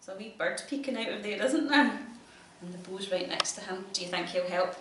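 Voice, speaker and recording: A woman's voice: mid-range voice, lively intonation, a little fast. A woman with a Scottish accent reading a storybook to a child, encouraging and full of questions. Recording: echoey room, distant mic, noisy background.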